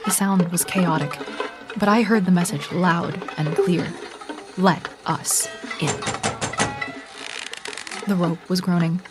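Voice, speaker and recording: An actress playing a frightened young woman musician on the run, telling her story in a hushed, measured, tense way in an audio drama. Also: fairly low pitch, steady tone. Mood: tense, grave. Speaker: narrator and lead character. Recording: music bed under voice.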